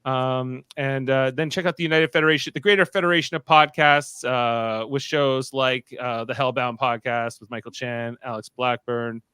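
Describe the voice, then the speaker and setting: A man's voice: medium pitch, fairly even intonation, pushed and bright, clear, resonant tone, dry recording, clean studio sound. A man hosting a podcast in casual unscripted chat, rambling and often interrupting himself. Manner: halting, rambling, self-interrupting. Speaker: podcast host.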